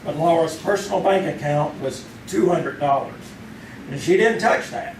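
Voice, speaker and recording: A man's voice: on the high side, close to an everyday voice. Police captain, male, played by a stage actor: aggrieved, emphatic, sarcastic, old-time radio style, distant echoey theater recording. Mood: matter-of-fact, smug. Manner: sarcastic, emphatic, drawn-out delivery. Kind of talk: old-time radio drama acting, performed live. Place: theater stage, performed live. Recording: distant, echoey live stage, faint noise.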